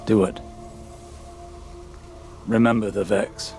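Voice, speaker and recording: An actor playing a tender, earnest man pleading with his beloved in an emotional video game scene. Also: lowish voice. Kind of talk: dramatic video game voice acting.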